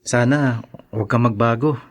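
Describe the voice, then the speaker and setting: A man's voice: mid-range voice, moderate pace, natural voice. A man acting a sincere, polite young boyfriend, devoted and protective, in a Tagalog radio drama. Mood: tender, reassuring. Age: young adult.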